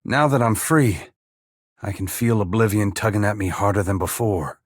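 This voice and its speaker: An actor playing a humble, weary dead farmer-saint in a fantasy video game, plain-spoken yet solemn, with a gravelly, husky voice. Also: male voice, fairly low pitch, normal speed, no room sound, clean studio sound, lightly acted. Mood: solemn resolve. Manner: plain-spoken rustic phrasing mixed with solemn archaic oratory. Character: humble dead farmer-saint, once god-touched, wry, earnest and weary. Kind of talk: dramatic fantasy game dialogue.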